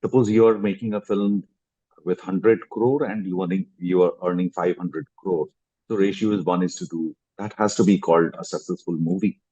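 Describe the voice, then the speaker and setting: A mellow, slightly husky man in his fifties speaks with an Indian English accent. He answers interview questions at length, restarting phrases and lifting his voice for emphasis. The sound comes through dull, lo-fi video-call audio. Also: deep voice, fairly even intonation, moderate pace. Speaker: guest actor.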